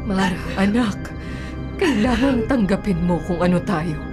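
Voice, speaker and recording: Radio drama mother woman actor: middle-aged, mid-pitched, protective and measured, with prominent score behind. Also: lively intonation, lightly acted. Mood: solemn, earnest. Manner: earnest, measured explaining. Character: protective mother, secretly a dog shapeshifter, earnest. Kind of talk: Filipino radio drama acting. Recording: dramatic music under the voice.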